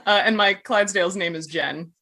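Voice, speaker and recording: Bright-voiced woman playing tabletop games. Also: medium pitch, a little fast. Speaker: tabletop game player. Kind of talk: casual in-game chat. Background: background music.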